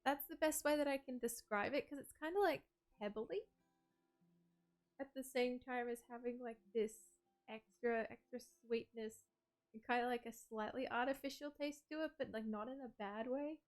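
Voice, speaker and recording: A woman's voice: on the high side, moderate pace, soft background music. A woman chatting casually on a livestream, rambling with lots of "like" and "um" and laughing often as she reads out viewer messages.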